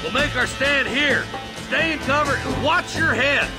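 A man's voice: very high-pitched, unhurried, over loud music. An actor playing a brusque frontier lawman in a Western video game, urgent and commanding.

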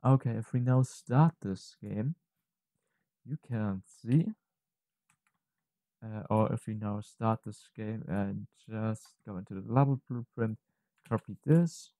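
A man presents a software tutorial in a lowish, mellow voice. He speaks very slowly and haltingly, thinking aloud and correcting himself as he works. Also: no echo. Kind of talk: unscripted software tutorial narration.

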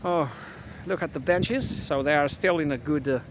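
A man in his thirties guiding a casual walking tour on a quiet residential street, talking with many fillers and restarts into a handheld camera mic. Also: fairly high pitch, moderate pace. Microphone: handheld camera mic while walking. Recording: clear outdoor street noise.

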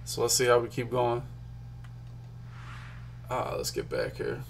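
A young man from Philadelphia rattles off card pulls in a loose, hyped stream commentary at a mid-range pitch. He talks straight to his chat from a small home room on a desk mic. A faint hum sits under his voice. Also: big pitch swings, a little slow, creaky. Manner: chatty, hyped, talks to chat while clicking. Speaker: gaming streamer. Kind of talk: casual pack-opening commentary.

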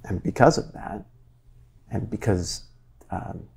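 A man's voice: lowish voice, takes it slowly, vocal fry, slight background noise. A man in his forties hosting an interview, talking in an earnest, searching way while gesturing, recorded with a lapel mic in a wood-panelled library. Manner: earnest, searching, animated with hands. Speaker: interviewer, show host. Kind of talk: conversational interview.

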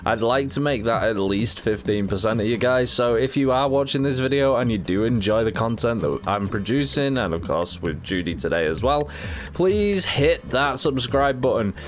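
A man's voice: medium pitch, lively intonation, moderate pace, few pauses. A man in his thirties hosting a casual gameplay stream, joking and laughing often while he has a cold. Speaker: gaming channel host.

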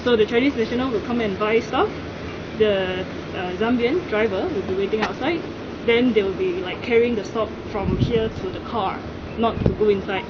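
A woman's voice: mid-range voice, normal speed. Young woman, filler-heavy outdoor answers. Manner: searching, filler-heavy talk. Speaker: interviewee. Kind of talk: casual interview answer. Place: outdoor garden yard. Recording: lo-fi outdoor sound with noise.

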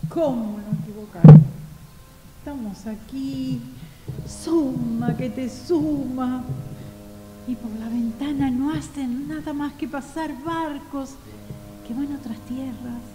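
A woman playing a weary, exasperated older female office clerk, plaintive yet defiant, in a radio play. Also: sits high. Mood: melancholy, despairing. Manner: plaintive, drawn-out complaining. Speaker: amateur radio theatre actor. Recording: echoey hall with faint music.

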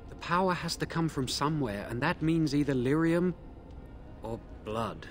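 A man's voice: on the high side, expressive, normal speed, faint music behind, natural voice. A man playing a regretful, hesitant mage in a fantasy video game, speaking apologetically. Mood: regretful, somber. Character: regretful, hesitant mage explaining a grim ritual.

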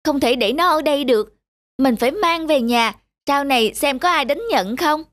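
A woman voicing a kind-hearted, childish young carrot in an expressive cartoon style. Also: high voice, normal speed, no room sound. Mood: concerned. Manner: expressive, talking to self. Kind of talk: cartoon voice acting. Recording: loud music under the voice.

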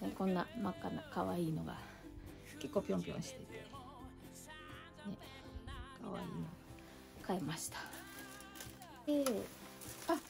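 A middle-aged woman chats in a loose, rambling way while showing off her plants indoors. Her voice sits in a middle range. Music plays softly in the background. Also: mid-range voice. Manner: chatty and meandering, showing plants to camera. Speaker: succulent channel vlogger. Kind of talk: casual chat. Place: indoor entryway with a shelf.

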